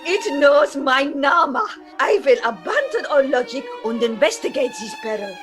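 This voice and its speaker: A woman's voice: high-pitched, big pitch swings. A woman voicing a melodramatic, eccentric mad scientist with a mock German accent in a spoof B-movie radio play. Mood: excited, cooing. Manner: theatrical, exclaiming, drawn-out. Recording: loud music behind the voice.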